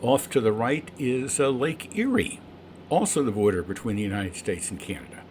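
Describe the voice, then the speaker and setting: A man's voice: medium pitch, big pitch swings, moderate pace. A man who is a private pilot, explaining his flight and making radio calls. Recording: cockpit and radio noise.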